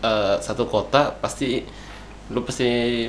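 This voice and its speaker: A man's voice: mid-range voice, steady tone, slow. A man chatting casually in slang, cracking jokes and laughing easily. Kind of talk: casual chat. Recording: faint background noise.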